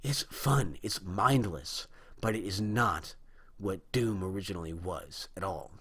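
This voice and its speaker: Young man ranting, husky low voice. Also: unhurried, fairly bright tone. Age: young adult. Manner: rambling, indignant, frequent restarts. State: dry, strained throat. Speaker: video host. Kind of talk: opinion monologue on video games.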